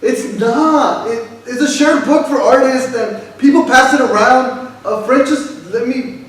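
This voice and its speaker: A man's voice: extremely high pitch, unhurried. A man playing a defensive sixteen-year-old boy, hesitant, in a staged reading. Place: arts center stage, live performance. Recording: echoey hall, distant mic, faint noise.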